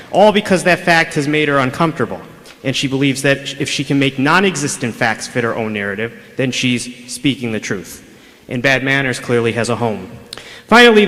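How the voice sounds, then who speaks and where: A man's voice: on the high side, a bit bright. Combative man at a board meeting podium. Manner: pointed, combative, accusatory. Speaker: public commenter. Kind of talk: prepared public comment at a school board meeting. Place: city meeting chamber. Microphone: podium microphone over public address. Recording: public address audio in a reverberant hall.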